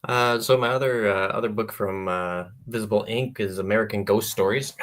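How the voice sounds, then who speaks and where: A man, a guest author, talking in a relaxed interview with many pauses and fillers and frequent laughs. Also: fairly low pitch. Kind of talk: casual interview conversation. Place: radio or podcast interview.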